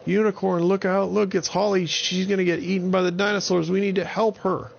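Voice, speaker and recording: A caring, excitable young storybook hero, put on by a man. The pitch sits well above a deep natural voice and the delivery is earnest and urgent. Faint noise sits behind a dull recording. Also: a little fast, vocal fry. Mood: alarmed, urgent.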